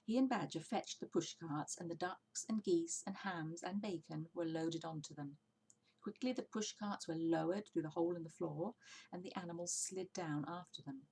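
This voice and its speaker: A woman's voice: fairly low pitch, normal speed, creaky, dark tone, slight background noise, poor audio. A woman narrating a children's storybook expressively, as a story reader.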